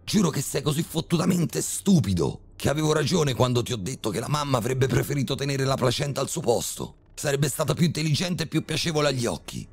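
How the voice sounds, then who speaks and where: A man playing a furious, brutal middle-aged criminal father who shouts vulgar threats in a horror story reading. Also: fairly low pitch, huge pitch swings, moderate pace, creaky, slightly rough, pitched above the performer's own voice, heavily acted. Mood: enraged.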